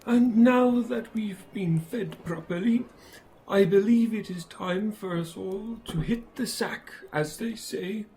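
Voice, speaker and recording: A performer voicing an elderly male wizard headmaster in a fan audio drama, speaking formally and deliberately with calm authority. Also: mid-range voice, a little hiss or hum, rough recording. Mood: kindly, formal.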